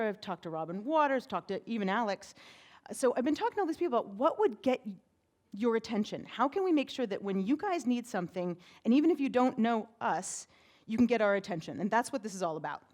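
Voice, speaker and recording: A woman with a big voice giving a conference talk on stage as a PR firm president, chatty and energetic, often tagging "right?" onto her points. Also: medium pitch. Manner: energetic, chatty, uses many "right?" tags.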